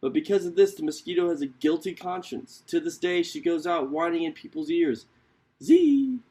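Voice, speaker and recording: A man reading a children's animal folktale aloud as an expressive storyteller. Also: a little high, a little fast.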